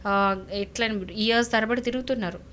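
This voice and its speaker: Casting: female lawyer, Telangana Telugu accent, higher-pitched, emphatic explainer, faint noise behind. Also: steady tone, a little fast, fairly bright tone. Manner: emphatic, repeats points, rhetorical questions. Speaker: lawyer, guest legal expert. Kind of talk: explaining legal advice conversationally.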